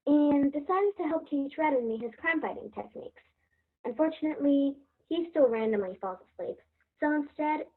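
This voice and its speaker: High-voiced earnest little girl played by a woman. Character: Sam, earnest, hopeful little girl fairy-tale hero. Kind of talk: stage play acting and storybook narration. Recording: dull, muffled lo-fi sound.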